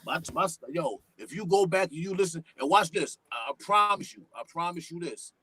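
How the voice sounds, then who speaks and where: A man, a guest hip-hop artist chatting on a podcast, animated, full of street slang and swearing, and talking at length. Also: moderate pace, noisy background. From New York. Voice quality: deep voice. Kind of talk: casual podcast chat.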